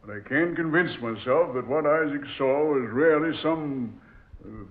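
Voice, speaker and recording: An actor playing a stern, commanding ship captain who gives gruff orders, in 1940s radio acting style. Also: male voice, on the high side, a little slow. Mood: brooding. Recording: tinny old radio recording.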